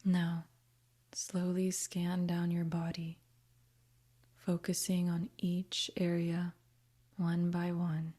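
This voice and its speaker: Slow, breathy woman guiding meditation. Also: fairly low pitch, monotone. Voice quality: soft, breathy, half-whispered. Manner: gentle, soothing, unhurried instruction. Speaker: meditation guide. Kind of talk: guided meditation narration.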